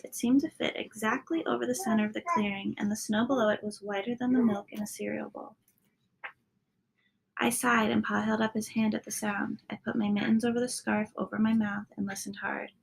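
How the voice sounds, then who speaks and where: A woman narrates a children's picture book in a fairly high voice that is heavily creaky and husky. Her delivery is that of a storyteller, with soft, hushed line endings. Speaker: storybook reader.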